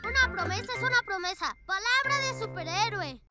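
Bossy, confident boy rabbit hero for children's cartoon; Latin American Spanish, very high childlike pitch. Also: lively intonation, a little fast. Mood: determined. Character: brave, bossy young boy superhero rabbit. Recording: music under the voice.

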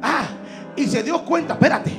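A man in his thirties preaching a fiery sermon on a church stage into a handheld mic, shouting and mixing Spanish with English. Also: very high voice, normal speed, music in the background. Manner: shouting, emphatic, switching between Spanish and English. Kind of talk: Pentecostal sermon. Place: large church stage indoors. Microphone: handheld microphone.

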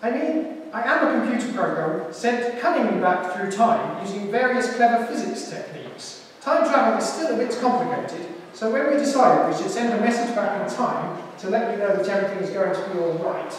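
A man's voice: high-pitched, a little hiss or hum, pitched above the performer's own voice. A man voicing a smug talking fridge from the future. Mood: explanatory. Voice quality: rich accent. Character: chatty time-travelling talking fridge computer. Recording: echoey hall from far off.

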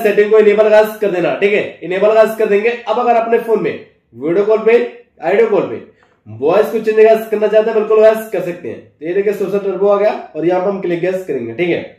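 A man's voice: high voice, dark tone. A man presents a casual phone tutorial to camera, often repeating verbal tags to check the listener follows. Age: 20s. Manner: repeats filler address words and check-in tags constantly. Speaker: tech tutorial host. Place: small indoor office. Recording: echoey room, distant microphone.

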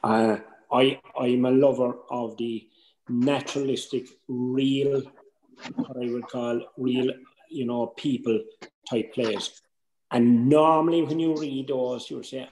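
Gravelly older Irish man in a roomy space. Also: mid-range voice, steady tone, measured pace, darkish tone, slight background noise. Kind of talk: informal workshop talk. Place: indoor room at home, on a video call. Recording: roomy home video-call audio.

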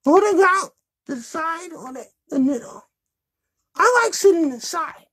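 A man's voice: very high voice, animated, measured pace, a bit bright, pitched above the performer's own voice, full character voice. A man voicing a sassy, chatty young girl puppet in a childlike, fussy manner for a puppet show.

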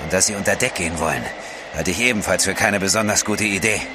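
A man's voice: low-pitched, fast talker, lightly acted. An actor playing a gloomy, dismissive man on a polar trek, blunt and pessimistic, in an audio drama. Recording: clear outdoor background noise.

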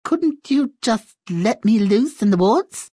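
Game princess, young, ditzy and coy; high, swooping girlish delivery. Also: expressive, slow pace, dark tone, husky, no echo, studio quality, exaggerated voice. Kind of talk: exaggerated fairy-tale game acting.

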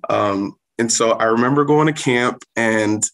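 A man's voice: mid-range voice, measured pace, a bit bright, low-quality recording. A man around thirty from South Florida, raised speaking Haitian Creole at home, answering interview questions earnestly with many um fillers. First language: Haitian Creole or French. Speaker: guest, Salvation Army corps officer.

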